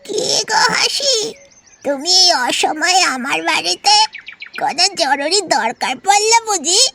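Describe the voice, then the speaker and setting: A woman's voice: very high voice, very animated, a little slow, vocal fry, heavy background noise, full character voice. A woman voicing a touchy, scheming mother crow in a cartoon, with a wheedling, cawing delivery. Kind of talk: cartoon voice acting.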